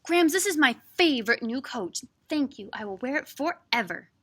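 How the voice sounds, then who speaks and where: An eager, playful little girl from a storybook, acted by a woman reading aloud. The voice is bright and fairly high. She speaks in exclamations and pleas, full of excitable energy. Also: moderate pace, husky, heavily acted. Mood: delighted.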